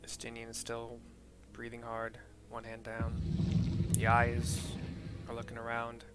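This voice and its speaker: A man with a mid-pitched voice narrates game visuals as a streamer. He is informal and descriptive, pausing as things unfold, with clear game noise behind him. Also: monotone. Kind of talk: casual play-by-play describing cutscene visuals.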